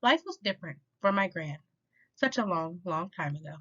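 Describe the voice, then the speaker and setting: Female storytime reader, expressive rhyming picture-book delivery, animated intonation, slightly muffled low-fidelity recording. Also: moderate pace, slightly rough. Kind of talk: children's picture book read-aloud. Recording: dull lo-fi sound.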